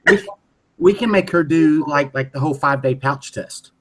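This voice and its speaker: A man's voice: on the high side, normal speed, fairly bright tone, a little hiss or hum. A man hosting a casual chat, speaking in a wry, hesitant way.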